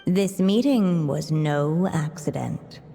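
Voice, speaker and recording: Slow, mellow storybook narrator. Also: female voice, deep voice, animated, full character voice. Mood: measured. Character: calm female storybook narrator of a fable. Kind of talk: scripted storybook narration in a video game. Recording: long reverb over music.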